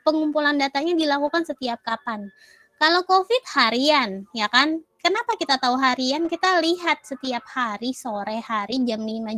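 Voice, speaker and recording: A high-pitched woman talks through her lecture in a loose, chatty way, mixing English terms in among many fillers. She is getting over a cough, and her voice sounds dry and close to the mic. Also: a bit bright, no echo. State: coughing, recovering from a cold. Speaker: university lecturer. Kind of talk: casual online lecture.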